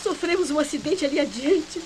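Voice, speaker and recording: A distressed mother in a radio mystery drama, pleading in a high voice. The old broadcast recording is noisy, with a sizzling sound behind her. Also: lively intonation, fairly quick. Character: desperate mother begging help after a car crash. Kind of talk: 1990s radio mystery play acting.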